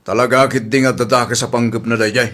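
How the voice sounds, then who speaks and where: Man playing earnest, mid-pitched uneasy husband. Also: fairly even intonation, fast, fairly bright tone, close to an everyday voice. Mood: uneasy complaint. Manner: earnest, complaining. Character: faithful, uneasy husband and employer, adult man. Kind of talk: domestic audio drama acting.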